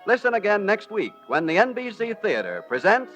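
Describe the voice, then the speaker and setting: A male radio announcer reading credits and promotions in a crisp, formal 1940s broadcast style. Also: high voice, big pitch swings, brisk, a bit bright, soft background music.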